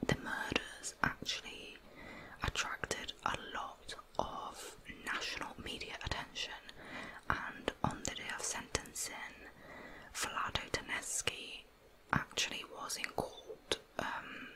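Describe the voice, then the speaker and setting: A woman narrating true crime in a soft, measured, breathy ASMR whisper. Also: low-quality recording.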